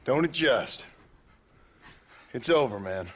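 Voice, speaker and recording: An actor playing a weary, plain-spoken former outlaw cowboy in a video game, laconic and rustic. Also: male voice, mid-range voice, expressive, slow pace, a bit bright, a little hiss or hum. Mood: firm, pleading. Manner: laconic, rustic folksy phrasing. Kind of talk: dramatic video game acting.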